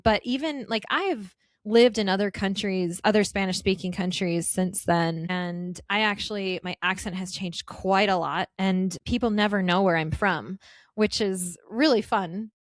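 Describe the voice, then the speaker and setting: An American woman in her thirties talks in a chatty, upbeat way with quick asides. Her voice sits at an ordinary middle pitch and sounds dry, with no room echo around it. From United States. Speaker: podcast guest, travel host. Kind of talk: casual interview conversation.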